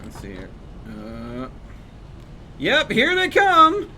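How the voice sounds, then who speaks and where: A man's voice: a little high, huge pitch swings, takes it slowly, sharp tone, a little hiss or hum. A man chatting casually while streaming a game, thinking aloud and explaining numbers as he plays. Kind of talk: casual chat while gaming.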